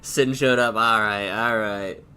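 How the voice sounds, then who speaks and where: A man with a stuffy, sniffly nose and a sore throat, streaming a game and chatting casually while thinking aloud with pauses. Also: fairly low pitch, steady tone, sharp tone, smooth. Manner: laid-back, thinking aloud with pauses and hums. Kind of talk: casual gameplay commentary.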